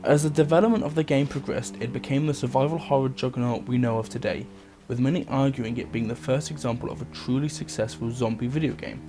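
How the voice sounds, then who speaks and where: A man's voice: medium pitch, fairly even intonation, fast. A man hosting a video essay, reading scripted documentary-style narration. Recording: music under the voice. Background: background music.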